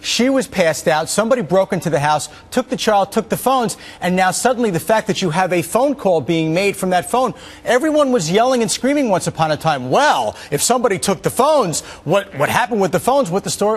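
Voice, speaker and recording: A man with a high-pitched voice debating as a defense attorney. He is insistent and argumentative, hammering points through repetition. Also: sing-song, a little fast, hardly any pauses. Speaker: criminal defense attorney, panelist. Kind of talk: live TV legal debate.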